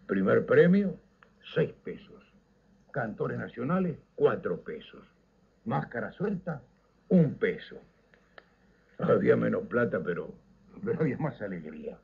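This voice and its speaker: Older Uruguayan man, very animated radio storyteller. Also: medium pitch, gravelly, husky. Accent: Uruguayan Rioplatense. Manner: theatrical, declamatory, with dramatic pauses. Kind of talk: nostalgic scripted radio narration. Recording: slightly dull, lo-fi radio recording.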